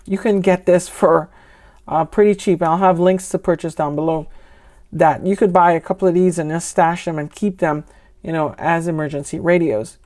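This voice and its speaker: Female product reviewer, lowish voice, US-raised, casual and explanatory, unscripted with conversational asides. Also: steady tone, moderate pace, a little mellow. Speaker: ham radio vlogger and product reviewer.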